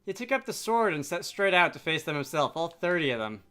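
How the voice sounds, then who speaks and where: A man's voice: fairly high pitch, very animated, a little fast, sharp tone, clear, resonant tone. A man voicing an earnest, cautious young princess from a game with proper phrasing. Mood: admiring. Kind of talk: reading game dialogue aloud. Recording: game music behind.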